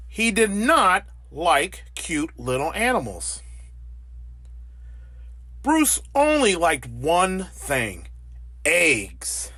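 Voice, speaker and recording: A man reads a children's storybook aloud with hugely swooping intonation. His pace is slow and deliberate, and his voice is husky, recorded dry and close. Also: on the high side, sharp tone, no room sound. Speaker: storybook narrator. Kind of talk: animated children's read-aloud.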